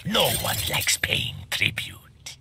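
An actor voicing a greedy, menacing demonic tax collector in a video game, with a rasping, sneering, formal delivery. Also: male voice, takes it slowly, in a whisper, close to an everyday voice. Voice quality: gravelly, rasping.

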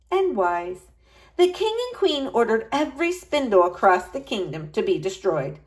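Animated woman reading a children's storybook. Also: medium pitch. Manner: expressive, addressing young children. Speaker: school librarian reading to children. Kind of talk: children's storybook read-aloud.

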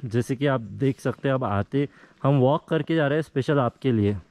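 A man's voice: mid-range voice, moderate pace, darkish tone. A man hosting a casual travel vlog outdoors in a cold mountain valley. He talks in a chatty, joking way and slips English phrases into his speech. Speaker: travel vlogger host. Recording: outdoor noise with passing vehicles.

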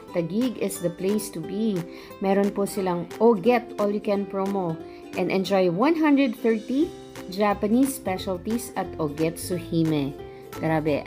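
A middle-aged Filipino-accented woman narrating a food vlog in a casual, presenting style. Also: medium pitch. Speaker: food vlogger.

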